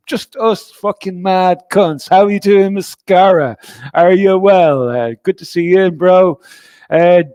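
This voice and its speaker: A man's voice: high voice, darkish tone, no room sound. A man hosting a livestream in casual chat, swearing freely and greeting viewers by name in a loose, chummy way. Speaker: livestream host.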